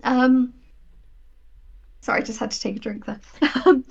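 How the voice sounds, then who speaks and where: A woman's voice: a little high, unhurried, gravelly, darkish tone, slightly rough, lo-fi sound. A woman in her thirties chatting casually as an interview guest, explaining her creative work with rhetorical questions. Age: 30s. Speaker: guest writer of audio drama and fiction.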